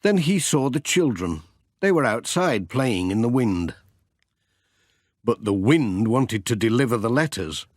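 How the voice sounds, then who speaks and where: A man narrating a children's story as an audiobook reader, with expressive storytelling delivery. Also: mid-range voice, unhurried, dry recording, studio quality.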